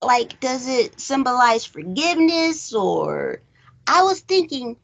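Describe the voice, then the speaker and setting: A woman hosting a recap show, chatting casually about a film in an animated way, throwing out rhetorical questions. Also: high-pitched, takes it slowly, gravelly. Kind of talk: casual chat movie review.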